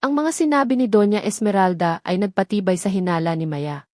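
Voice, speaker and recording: A woman's voice: mid-range voice, a little fast, darkish tone, no room sound, studio quality. A woman with a Filipino accent reading a scripted dramatic story as its narrator.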